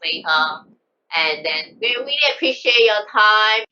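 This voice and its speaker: A woman's voice: mid-range voice, expressive, measured pace, bright, forceful tone, slight background noise. A woman co-hosting an interview conversationally, with non-native English phrasing. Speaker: seminar co-host. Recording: slightly dull online call.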